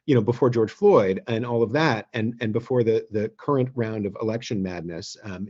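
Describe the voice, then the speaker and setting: A man with a low-set, husky voice addresses a group informally as its host. He thinks aloud and halts often between phrases. The sound is dull and lo-fi, as on an online call. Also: dark tone. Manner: halting, thinks aloud with many pauses. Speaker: theatre company leader hosting an online gathering. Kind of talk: informal speech and toast. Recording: dull, lo-fi video call audio.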